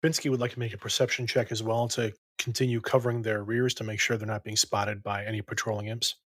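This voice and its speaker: A man's voice: lowish voice, little pitch movement, fast, fairly bright tone, no room sound. A man playing a tabletop game, methodically clarifying rules and positions. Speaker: player.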